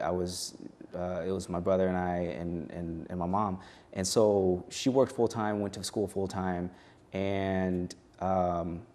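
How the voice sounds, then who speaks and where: A man in his thirties with a fairly low voice gives reflective answers in a studio interview. He talks in a measured, thoughtful, personal way, taking his time to find his words. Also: mellow. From United States. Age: 30s. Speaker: guest poet and educational consultant. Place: television studio.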